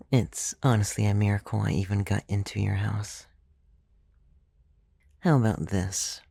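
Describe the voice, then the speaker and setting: Man as a creaky, soft-spoken ASMR vampire. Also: on the low side, no room sound, exaggerated voice. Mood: pleading. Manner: hesitant, intimate, playfully teasing. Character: clumsy, gentle, centuries-old male vampire, teasing and coaxing. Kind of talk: ASMR roleplay, soft-spoken with whispered stretches. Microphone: close, dry microphone.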